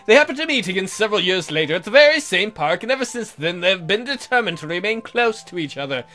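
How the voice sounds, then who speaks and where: A man's voice: high-pitched, sing-song, quick pace, bright, forceful tone, soft background music. A man hosting a let's play, reading a visual novel aloud in a jokey, theatrical, exaggerated way with comic asides.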